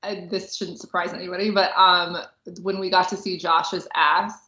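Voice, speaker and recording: A woman's voice: fairly low pitch, flat delivery, moderate pace, bright, forceful tone. A woman co-hosting a podcast in casual chat, gushing informally about what she enjoys. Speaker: podcast co-host and film critic. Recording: roomy, echoey, slightly dull audio.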